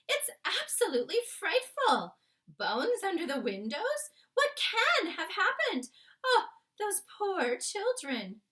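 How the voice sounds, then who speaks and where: A woman's voice: very high-pitched, huge pitch swings, slow, sharp tone, voice pushed up, full character voice. A woman voicing a genteel, self-controlled queen who is easily horrified, with exclamatory old-fashioned phrasing, in a storybook reading.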